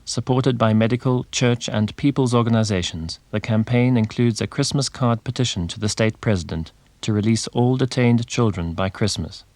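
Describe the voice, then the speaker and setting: A man's voice: mid-range voice, moderate pace, hardly any pauses, a little mellow, dry recording. Formal male newsreel reporter, dry sound. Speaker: reporter and narrator. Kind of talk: formal documentary questioning and narration.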